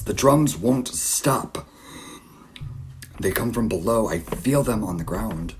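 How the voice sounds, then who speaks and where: A man playing a distraught, obsessive middle-aged father in an audio drama, haunted, halting and repeating himself. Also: deep voice, background noise. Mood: uneasy, driven. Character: distraught obsessive father, a searcher recording his nightmare. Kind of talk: audio drama monologue and dialogue.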